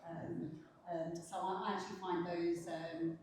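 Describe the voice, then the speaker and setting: A soft-spoken woman on a panel, giving a hesitant spoken critique at a café event with many restarts. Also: fairly low pitch, flat delivery, a little slow, slight background noise. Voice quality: fairly quiet voice. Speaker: panel reviewer. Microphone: handheld mic, held away at times. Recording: very echoey room, distant mic, faint ambience.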